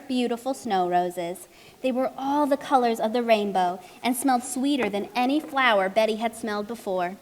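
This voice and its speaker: High-voiced woman storyteller in a reverberant hall. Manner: explanatory, addresses the characters. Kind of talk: scripted children's story narration for a puppet show.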